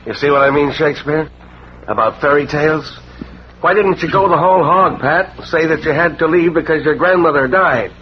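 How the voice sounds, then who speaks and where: A man's voice: a little high, normal speed, natural voice. An actor playing a skeptical, sarcastic police sergeant in 1940s radio drama, needling and full of tough-guy slang. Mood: sarcastic, mocking. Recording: noisy muffled vintage radio recording.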